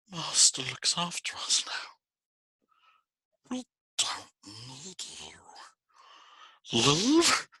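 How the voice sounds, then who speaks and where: An actor playing a wretched male creature who argues with himself, hissing and wheedling in a raspy whisper, turning to snarls. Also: very high voice, bright, forceful tone, dry recording, studio quality, heavily acted. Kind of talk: film acting.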